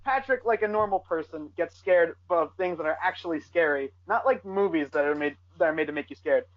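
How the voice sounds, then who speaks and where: A woman's voice: lowish voice, a little fast. A woman chatting casually as a podcast co-host. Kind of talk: casual chat. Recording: dull lo-fi podcast audio.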